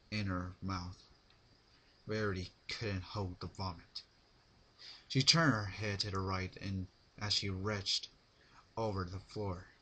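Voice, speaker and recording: A man narrating a story as a dramatic reading, in deliberate, halting phrases. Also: sits low, pushed and bright, slightly rough, poor audio. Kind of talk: dramatic fan fiction reading.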